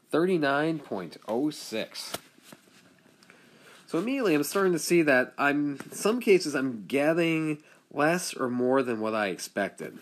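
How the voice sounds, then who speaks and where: Man explaining step-by-step math. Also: a little high. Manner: steady step-by-step explaining, talks through calculations aloud. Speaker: statistics teacher. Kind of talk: screen-recorded math lesson.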